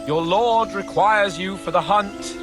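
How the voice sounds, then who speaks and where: A man's voice: high voice, takes it slowly, music in the background. A man playing a boastful, pompous young nobleman in a medieval video game cutscene, mocking and full of theatrical exclamations. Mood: haughty.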